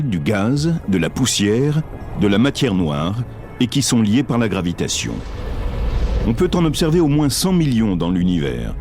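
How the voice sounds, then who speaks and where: A man's voice: on the low side, a little mellow. A man reading scripted narration for a science documentary. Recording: narration over a music bed. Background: clear background music.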